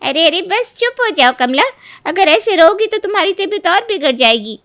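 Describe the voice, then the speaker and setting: A woman acts a soothing, concerned neighbour lady for a storybook drama. She exclaims and coaxes in a high voice. The recording is dry. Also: very animated, normal speed, fairly bright tone, no echo, full character voice. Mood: coaxing. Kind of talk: Hindi moral-story voice acting.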